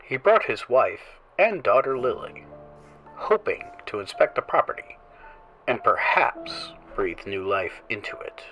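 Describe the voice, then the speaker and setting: Slow, hushed male horror narrator. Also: medium pitch, very animated, soft background music, rough recording. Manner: slow, theatrical, dropping to hushed whispers. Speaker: horror show host and narrator. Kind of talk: scripted horror story narration.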